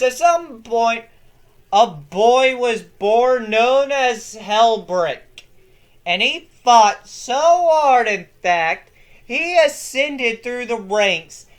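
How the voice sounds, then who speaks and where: A young man hosting a lore video, reading his script in a casual, excitable way, swearing freely and breaking into yells. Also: very high-pitched.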